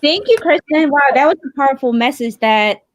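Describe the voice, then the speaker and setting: A woman's voice: high-pitched, animated, brisk, slight background noise. A woman co-hosting a live-streamed author reading, praising the story and asking chatty interview questions. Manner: warm praise, chatty, with fillers. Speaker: co-host of an online author readalong.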